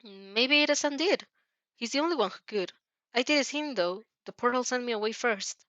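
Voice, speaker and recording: A woman's voice: on the high side, animated, moderate pace, sharp tone, no room sound, dull audio. A woman voicing a cocky, sarcastic teenage girl hero student in a story reading, cheeky in manner. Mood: respectful.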